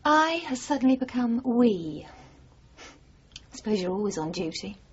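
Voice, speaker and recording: A woman's voice: on the high side, very animated, moderate pace, slight background noise. An actress in a radio drama playing a self-possessed, independent woman with a composed, questioning and assertive manner. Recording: dull, slightly muffled 1980s radio broadcast.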